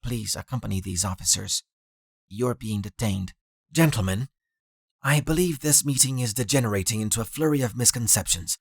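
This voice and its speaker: A man's voice: medium pitch, moderate pace, gravelly, slightly rough, dry recording, clean studio sound. A man voicing a formal official in an audiobook, polite, precise and wordy. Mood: polite, firm. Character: formal, measured, diplomatic official smoothing things over.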